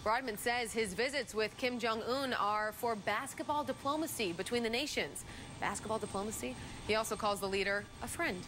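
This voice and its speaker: A woman's voice: fairly high pitch, normal speed, noisy background. An American woman anchoring morning TV news, reading stories and chatting casually with a co-anchor.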